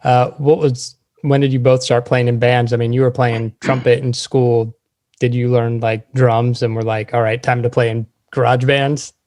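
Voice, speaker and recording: A man's voice: medium pitch, little pitch movement, normal speed, a little mellow. A man hosting a podcast, interviewing guests in a casual, conversational style.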